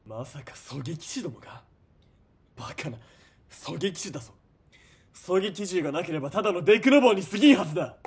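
An actor playing an arrogant, high-strung young army lieutenant in a radio drama, with haughty, barking military speech. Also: male voice, animated, fairly quick, slight background noise. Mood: alarmed, scornful. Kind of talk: Japanese radio drama acting.

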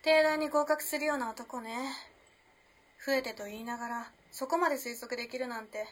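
An actress playing a composed, enigmatic young modern woman in a period Japanese radio play, speaking in a cool, measured, teasing way. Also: a little high, lightly acted. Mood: coolly approving. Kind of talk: dramatic radio play acting. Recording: clear background noise and ambience.

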